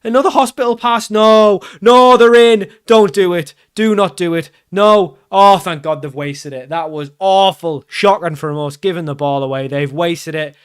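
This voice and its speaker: A man commenting casually over his football management game, getting loud and excited at the big moments. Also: sits high, normal speed, few pauses, smooth. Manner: excitable, shouts at big chances and goals. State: losing his voice. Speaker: game streamer.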